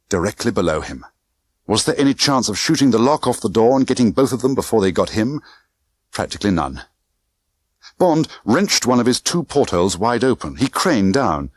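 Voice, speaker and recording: Male narrator for spy thriller audiobook: low register, highly animated range, dry close sound, suspenseful clipped phrasing. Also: no room sound. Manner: tense, theatrical delivery with clipped short phrases. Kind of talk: dramatic scripted narration of a spy thriller novel.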